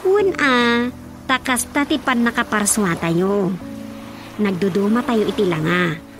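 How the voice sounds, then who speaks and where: A woman playing a chatty, teasing younger woman in an Ilocano radio drama comedy, with an animated delivery and an Ilocano accent. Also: mid-range voice, measured pace, close to an everyday voice. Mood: playful, chatty. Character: chatty teasing woman, Rosa, rushing off to her mother. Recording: music bed under the voice.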